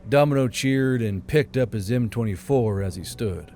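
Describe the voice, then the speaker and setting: A man's voice: mid-range voice, normal speed, dark tone, slight background noise. A man narrating an audiobook story in a dramatic, scripted style.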